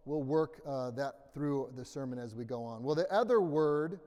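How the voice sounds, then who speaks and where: A man's voice: normal speed, dark tone. A middle-aged American man giving a conversational sermon with personal anecdotes and an informal, self-deprecating manner. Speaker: preacher. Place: large indoor church room, amplified. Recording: boomy echoing hall, long reverb.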